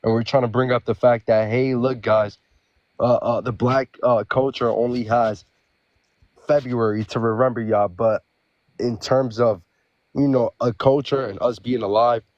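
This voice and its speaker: A man's voice: medium pitch, steady tone, unhurried, a little mellow, no room sound. A man from the Bronx, talking in a hesitant, agreeable way with many fillers in a casual podcast discussion. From the Bronx, New York. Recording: dull, dry lo-fi sound.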